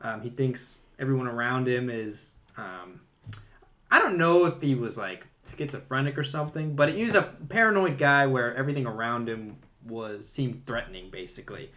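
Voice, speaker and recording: A man with a bright, forward voice at a middling pitch talks casually as a podcast co-host. He is informal and opinionated, speaking as if among friends. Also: lively intonation, moderate pace. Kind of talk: casual movie discussion chat.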